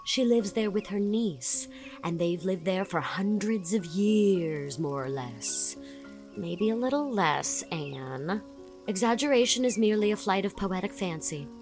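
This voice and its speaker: A woman's voice: medium pitch, expressive, unhurried, darkish tone, soft background music. A woman playing a chatty, effusive young society woman in an audiobook drama, leaning on words for dramatic emphasis. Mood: confiding.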